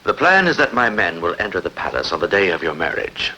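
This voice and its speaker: An actor playing an ambitious, scheming rebel usurper in a dubbed historical epic, with a commanding, menacing, declamatory delivery. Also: male voice, low-pitched, sing-song, fairly quick, bright, forceful tone, a little hiss or hum, heavily acted, poor audio. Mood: coldly scheming. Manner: declamatory, imperious.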